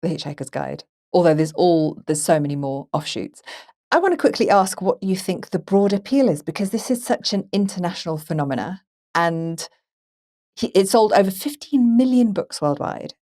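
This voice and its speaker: A woman hosting a conversational film podcast, chatty and animated in the way she tells things. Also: lowish voice, normal speed. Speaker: podcast host and moderator.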